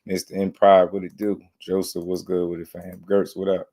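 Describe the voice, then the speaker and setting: A deep-voiced man hosts a casual boxing livestream, his voice slightly hoarse. He talks in loose slang and calls out viewers by name. His takes are blunt and opinionated. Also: completely flat delivery, normal speed, warm tone. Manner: loose slang, hypes and greets chatters. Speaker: boxing show host. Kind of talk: casual livestream chat and commentary.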